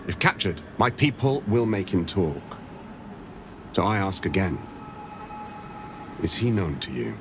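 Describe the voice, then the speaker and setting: A man playing a courteous, grave monster hunter in a video game, speaking in measured, formal, storytelling phrases. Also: lowish voice, background noise. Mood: pressing, resolute. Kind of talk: dramatic video game acting.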